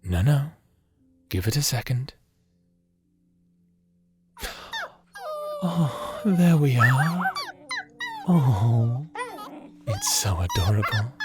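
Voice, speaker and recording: An actor in an audio drama playing a gentle, doting scientist, soft-spoken and tender as if talking to a small child. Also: male voice, mid-range voice, wildly swooping pitch, slow pace, pauses often. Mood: tender, encouraging. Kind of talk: scripted audio drama acting. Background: background music.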